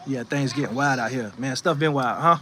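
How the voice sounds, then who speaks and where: A man's voice: mid-range voice, brisk, fairly bright tone, slight background noise. A man hosting a faith livestream from his porch, giving casual spiritual encouragement and reading the chat, with a chatty, preachy manner full of restarts. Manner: preachy and conversational, frequent restarts and "you know what I'm saying". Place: porch outdoors, sitting in a rocking chair.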